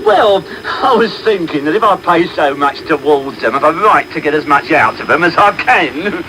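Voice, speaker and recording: An actor playing a cheerfully macabre, thrifty undertaker in a radio play, wry and chuckling, on a taped medium-wave broadcast. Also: male voice, on the high side, big pitch swings, moderate pace, background noise. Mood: businesslike, chatty. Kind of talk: naturalistic radio play acting.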